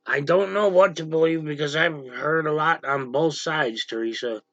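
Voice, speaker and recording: A man hosting a casual livestream with a gravelly voice, talking bluntly to viewers and snapping at chatters who annoy him. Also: fairly high pitch, normal speed, fairly bright tone, dry recording, muffled recording. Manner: blunt, irritated, snaps at chatters. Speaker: livestream host. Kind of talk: casual livestream chat reading viewer comments. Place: dim room at home, power out.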